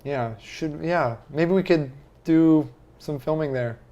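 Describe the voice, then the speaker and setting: A man's voice: a little high, takes it slowly, mellow, lo-fi sound. A man hosting a podcast in casual chat, prompting his guest with questions. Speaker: podcast host.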